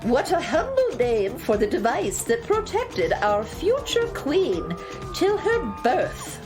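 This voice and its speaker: A woman portrays a grand alien head of government in a children's space radio drama. She speaks very slowly with sweeping, dramatic intonation, full of stately reverence. Music plays clearly behind her. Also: high-pitched, wildly swooping pitch, exaggerated voice. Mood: reverent welcome. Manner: ceremonious, stately, effusive. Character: grand, reverent female alien planetary prime minister. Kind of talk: children's sci-fi radio play acting.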